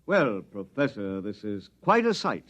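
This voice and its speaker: A man's voice: lowish voice, big pitch swings, a little slow, fairly bright tone. A man playing a hard-line army colonel, commanding, curt and belligerent, in 1950s radio drama style. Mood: grim, hawkish. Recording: dull old radio recording.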